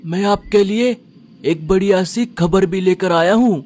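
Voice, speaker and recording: A man putting on a high eager vulture lackey. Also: unhurried, darkish tone. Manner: eager, fawning over his boss. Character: eager fawning male vulture henchman.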